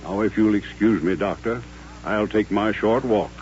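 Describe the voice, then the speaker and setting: An actor playing a proud, devout old colonel with formal, measured, courteous speech in a radio western. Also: male voice, lowish voice, darkish tone, faint music behind, natural voice. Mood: firm, resolute. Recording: muffled old radio recording with hum.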